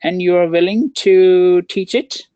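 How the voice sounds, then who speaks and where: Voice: medium pitch, measured pace, mellow, clean tone, no room sound, muffled recording. A counselor answering questions on a webinar, talking with many fillers and restarts over a video call. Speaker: counselor and program researcher.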